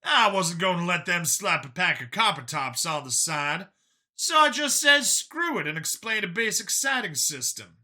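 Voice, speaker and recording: A man puts on a cocky medic and hunter for an audiobook. The voice rides high and swings widely, with a sharp, forceful edge. He is combative and folksy, prone to exclaiming. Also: sing-song, moderate pace, heavily acted. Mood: insistent. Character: brash, cocky older human medic and hunter, male. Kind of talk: acted audiobook dialogue.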